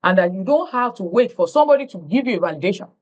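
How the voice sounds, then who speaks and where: A woman's voice: medium pitch, lively intonation, racing, darkish tone, lo-fi sound. A woman hosting an interview, effusive and stretching her words for emphasis over a video call. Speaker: interview host.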